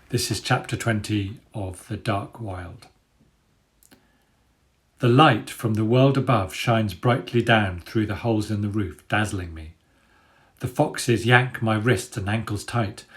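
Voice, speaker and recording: A man, an author telling and reading a children's adventure story aloud in an expressive storytelling style. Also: on the low side.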